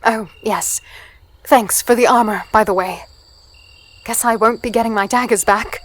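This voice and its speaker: A woman's voice: on the high side, fairly even intonation, a little slow. A woman playing a plucky, spirited fighter, telling her story in earnest first person in a fantasy audio drama. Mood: feisty banter. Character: plucky, spirited small female fighter and archer.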